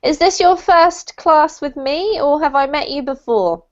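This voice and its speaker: A woman teaching an online English class, greeting new students in a bright, encouraging way over a video call. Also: sits high, measured pace. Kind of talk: friendly online class talk. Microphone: computer mic on a video call. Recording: dull lo-fi call audio.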